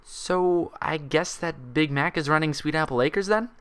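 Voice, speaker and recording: Storybook mare patient, played by a man: pitched up, young, anxious and frustrated, full of hesitant questions. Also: unhurried, fairly bright tone, clean tone. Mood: awkward, curious.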